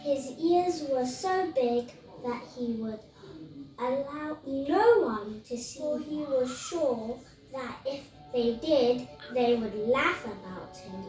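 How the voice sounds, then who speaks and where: A woman hosting a children's storytime, reading a story aloud in a deliberate storytelling manner. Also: sits high, animated, a little mellow. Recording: echoey room, distant mic, music behind. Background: music.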